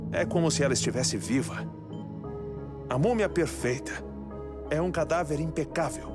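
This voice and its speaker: An actor playing an obsessive, melancholic middle-aged scientist in a Brazilian video game dub, musing theatrically and deliberately. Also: male voice, a little high, lightly acted. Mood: intrigued. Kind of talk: dubbed video game cutscene acting. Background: music.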